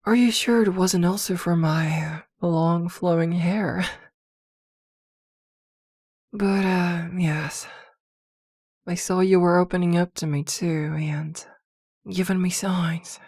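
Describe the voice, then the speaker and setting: A woman playing a possessive, protective witch girlfriend in an intimate ASMR roleplay, speaking softly and soothingly with hesitant pauses. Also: on the low side, steady tone, no echo, clean studio sound. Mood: fond, reminiscing.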